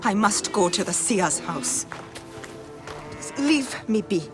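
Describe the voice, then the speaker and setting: A woman playing a desperate, intense wife of an ancient Egyptian high priest in a dramatic video game scene. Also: lively intonation, takes it slowly, music in the background. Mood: agitated. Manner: intense, distraught. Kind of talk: dramatic game cutscene acting.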